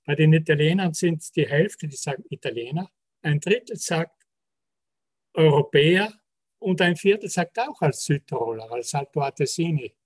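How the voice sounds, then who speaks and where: A man, a sociologist calmly explaining survey results in a panel talk. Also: fairly high pitch, slightly rough. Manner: measured, explanatory, citing percentages. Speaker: sociologist presenting survey research.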